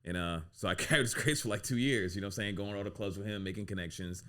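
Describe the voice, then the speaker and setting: Male podcast guest, deep register, easygoing anecdotal delivery. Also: racing. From United States. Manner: relaxed, filler-heavy, says "you know what I'm saying" often. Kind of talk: casual storytelling interview.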